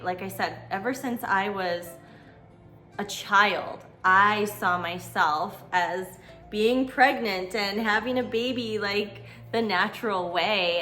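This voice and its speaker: A woman's voice: medium pitch, pushed and bright. A middle-aged American woman talking conversationally in a personal storytelling vlog, earnest and reflective, with emphasis on key words. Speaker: vlogger telling her own story.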